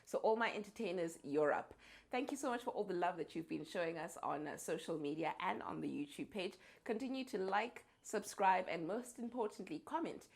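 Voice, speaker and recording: A woman with a South African accent hosting a podcast, lively and quick to laugh. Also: on the low side, gravelly. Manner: lively, laughing, friendly interjections. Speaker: podcast host. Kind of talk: casual podcast chat.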